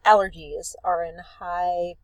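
Woman podcast co-host, sniffly, relaxed and rambling, lower voice, dry sound. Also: slow, no echo. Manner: relaxed, rambling, self-deprecating. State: sniffly from allergies. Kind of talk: casual chat. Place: outdoors at a beach.

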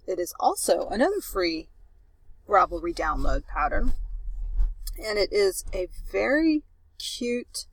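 A woman's voice: medium pitch, big pitch swings, slow pace, long pauses, husky, dry recording, rough recording. A woman co-hosting a knitting podcast, talking in a chatty, enthusiastic way while recording outdoors at the beach. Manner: chatty, enthusiastic, trails off into fillers. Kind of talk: casual chat.

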